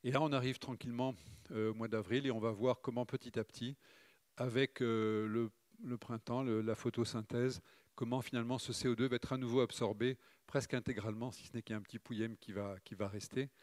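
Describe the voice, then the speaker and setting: A middle-aged man from Provence gives a conference talk over slides, speaking in a measured way and pausing often with fillers. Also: mid-range voice, fairly even intonation, darkish tone, no room sound. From Morocco, raised in Provence. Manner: measured, hesitant with frequent "euh" fillers.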